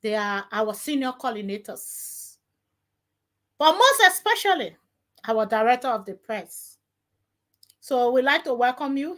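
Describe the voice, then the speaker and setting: A woman hosting a live radio talk show, her voice rough from a cold, talking haltingly with restarts and fillers. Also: on the high side, a bit bright. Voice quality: rough, strained voice. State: has a cold. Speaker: radio host. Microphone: studio mic.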